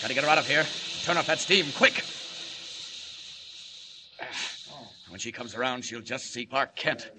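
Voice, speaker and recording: A man's voice: medium pitch, lively intonation, brisk, close to an everyday voice. A man playing a bold, fearless superhero in the style of a 1940s radio serial. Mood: urgent. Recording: noisy old radio recording, hissy.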